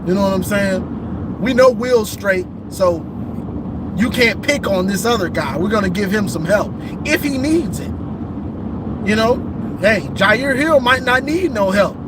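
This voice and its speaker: A man hosting casual football talk, rambling and repeating himself, a bit hoarse from losing his voice, calling in from a vehicle. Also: high voice, lively intonation, unhurried, heavy background noise. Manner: rambling, repeats himself, says "you know what I'm saying". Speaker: football show host. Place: in a vehicle on a bridge.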